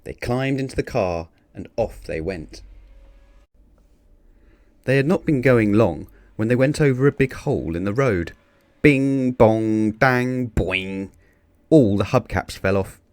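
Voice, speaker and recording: Lowish-voiced man reading a children's story. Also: a little slow, a little mellow, no room sound. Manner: theatrical on sound-effect words. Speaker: storybook reader. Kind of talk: expressive children's read-aloud narration.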